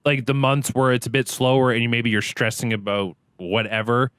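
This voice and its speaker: Smooth-voiced upbeat man. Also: mid-range voice, a little fast, no room sound, studio quality. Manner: upbeat, jokey and animated. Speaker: podcast guest, streamer and actor. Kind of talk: casual interview chat.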